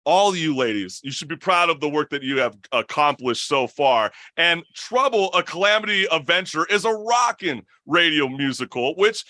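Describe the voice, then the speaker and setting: A man's voice: a little high, animated, normal speed, hardly any pauses, bright, forceful tone, no room sound. A young man hosting a casual talk show, effusive and rambling, with slangy phrasing. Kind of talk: casual chat.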